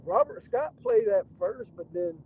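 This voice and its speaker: A hoarse man whose voice is nearly gone, hosting a casual livestream reaction from a moving car and often clearing his throat and coughing. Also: very high-pitched, wildly swooping pitch, moderate pace. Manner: keeps clearing throat and coughing, loose rambling talk. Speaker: channel host. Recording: tinny phone-line sound with faint car noise. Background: faint car and road noise.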